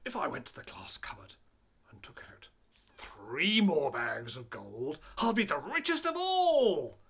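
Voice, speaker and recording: A man's voice: a little high, huge pitch swings, a little slow, fairly bright tone, breathy edge, full character voice. A man voicing a greedy, boastful chief of robbers in a fairy tale, commanding and emphatic. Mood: greedy, resolute.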